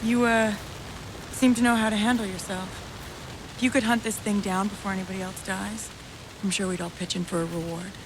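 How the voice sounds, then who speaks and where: An actress playing an earnest, hesitant villager seeking help in a video game, speaking politely. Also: female voice, medium pitch, fairly even intonation, fairly quick, close to an everyday voice. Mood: hopeful. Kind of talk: video game cutscene acting. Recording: clear rain noise and hiss behind.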